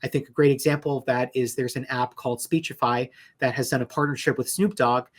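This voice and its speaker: Male legal expert for an interview, mid-pitched voice, conversational and measured, stressing points of caution. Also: steady tone. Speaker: lawyer, interview guest.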